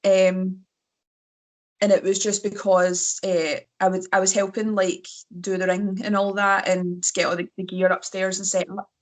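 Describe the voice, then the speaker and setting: A woman's voice: mid-range voice, little pitch movement, unhurried. A Scottish woman in her thirties, a wrestler chatting as a podcast guest, telling rambling self-mocking stories. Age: 30s. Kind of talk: casual podcast chat.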